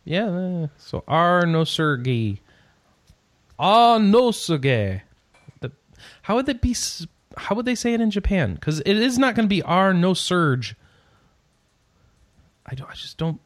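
A man's voice: high voice, sing-song, a little slow, no room sound. A man hosting a casual podcast, reading out gaming news with rambling, sarcastic asides that trail off into mumbles.

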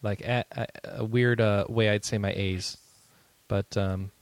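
A man's voice: fairly low pitch, steady tone, a little slow, no room sound, clean studio sound. A man with a Midwest accent hosting a casual podcast, chatty and reading out comments from the chat room. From upstate New York and the Midwest. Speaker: podcast host.

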